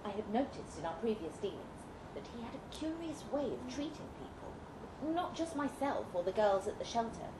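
A woman's voice: fairly high pitch, very animated, moderate pace. A woman reading a dramatic first-person story aloud as scripted narration. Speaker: story reader. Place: indoor live literary event. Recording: roomy, noisy live venue recording.

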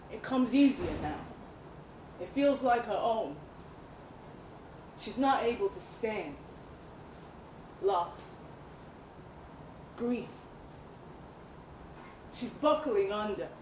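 A woman reading a literary short story aloud at a live event, with expressive, deliberate phrasing and dramatic pauses. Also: fairly high pitch. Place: indoor live reading event at a pub venue. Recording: roomy live venue, some background noise.

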